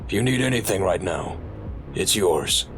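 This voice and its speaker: Deep, fatherly officer. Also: lively intonation, normal speed, music in the background. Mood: consoling. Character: fatherly male senior officer, solemn and kind. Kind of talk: audio drama acting.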